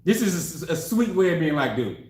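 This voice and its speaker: A man in his forties, a relationship coach, telling stories in a casual, slangy, animated way with frequent laughs. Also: a little high, fairly quick. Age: 40s. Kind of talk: casual discussion. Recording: echoey room.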